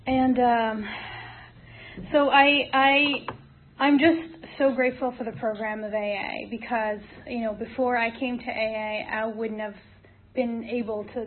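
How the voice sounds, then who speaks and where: A woman from Morocco giving a personal testimony as a speaker at a support group, in a halting, hesitant manner with repeated false starts. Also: a little high, fairly even intonation. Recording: tinny phone-like audio, clear noise, clicks.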